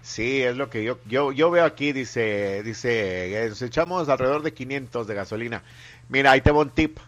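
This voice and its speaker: A man's voice: mid-range voice, normal speed, fairly bright tone, lo-fi sound. Joking radio host, man. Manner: playful, teasing, reads listener messages aloud. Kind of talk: joking morning radio banter.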